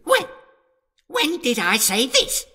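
A man's voice: very high-pitched, wildly swooping pitch, takes it slowly, sharp tone, heavily acted. A British man playing a cunning, pompous medieval lord in a comedy audio drama, sneering and exasperated. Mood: exasperated, furious.

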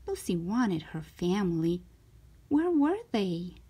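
A woman reads a children's story aloud with lively, swooping pitch and a slow pace. Her voice is a little husky, and she shapes each short sentence carefully and expressively for young listeners. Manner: animated, careful storytelling delivery.

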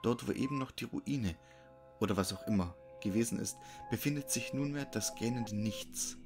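A man's voice: mid-range voice, moderate pace, darkish tone. A man narrating a scripted horror story. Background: music.

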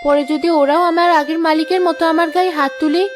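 A woman's voice: sits high, fairly even intonation, quick pace, soft background music, natural voice. A woman playing a kind, humble poor girl who poses as a princess in a fairy-tale cartoon.

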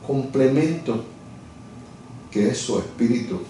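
A middle-aged man speaks in a mellow, darker tone as an interview guest. He explains his views reflectively and often restarts mid-phrase. His voice is heard from a distance in a very echoey office. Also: medium pitch, lively intonation, slow pace, a little hiss or hum. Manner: thoughtful, searching for words with many restarts. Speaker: interview guest, lawyer and communications director. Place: office, seated at a desk. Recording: echoey room from far off.

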